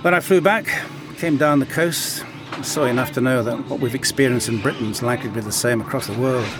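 An actor playing a weary male survivor in an audio drama who recounts things in a sober, matter-of-fact way. Loud music plays behind him. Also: mid-range voice, quick pace, close to an everyday voice. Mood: sombre.

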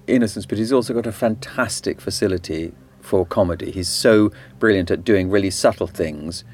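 A man's voice: on the low side, normal speed, a little mellow, slightly rough. A man giving a behind-the-scenes interview about drama, reflective and emphatic in his phrasing.

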